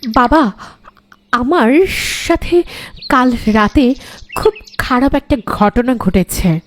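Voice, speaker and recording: A woman's voice: fairly high pitch, animated, slow pace, a little hiss or hum. A woman voicing a frightened young daughter who narrates a scripted horror cartoon with dramatic delivery. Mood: anxious.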